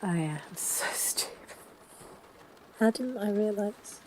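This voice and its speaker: A woman playing a flustered, lovestruck girlfriend who is sick in bed, gushing and halting in an intimate audio roleplay. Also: mid-range voice, expressive, darkish tone, a little hiss or hum. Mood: giddy, smitten. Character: lovestruck, grumpy-but-soft woman sick in bed, newly smitten.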